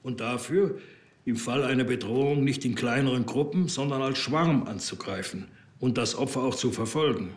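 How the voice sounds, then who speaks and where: An actor playing a learned, pedantic bee expert who lectures formally and precisely in a TV comedy. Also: male voice, medium pitch, normal speed, close to an everyday voice. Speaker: actor in a police comedy series. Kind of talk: scripted TV drama dialogue.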